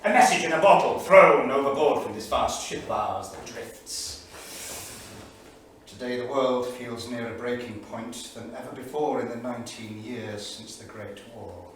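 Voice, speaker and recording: An erudite, uneasy English don of the 1930s, acted by a man in a staged reading, speaks with literary deliberation and dry irony. The voice sits at an average male pitch, heard from a distance in a very reverberant theatre with faint noise. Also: normal speed, close to an everyday voice. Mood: grave, hopeful. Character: erudite, anxious English Cambridge don keeping a 1938 journal. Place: small studio theatre, live staged reading.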